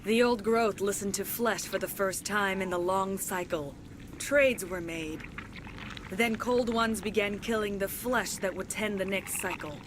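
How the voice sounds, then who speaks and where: A woman acting as a solemn alien woman in a video game cutscene. She speaks in formal, measured declarations with grave dignity. Faint ambient noise sits behind her voice. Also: mid-range voice, natural voice. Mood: cold, imperious. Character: alien woman, cold imperious mouthpiece of an ancient plant, later freed and remorseful.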